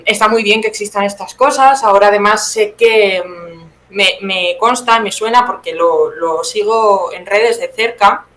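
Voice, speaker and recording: Woman, 30s, accent from Spain. Conversational, reflective interview guest, lower-pitched voice, recorded in an echoey room. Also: a little slow, fairly bright tone. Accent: Spanish, from Spain. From Teruel, Spain. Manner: conversational, reflective, often checks with tag questions. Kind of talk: casual spoken interview.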